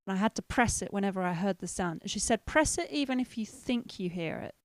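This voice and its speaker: A woman's voice: medium pitch, lively intonation, quick pace, dry recording. Chatty woman telling stories. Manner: chatty, laughs often, tells anecdotes with quoted dialogue. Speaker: co-host. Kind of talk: casual chat on a livestream.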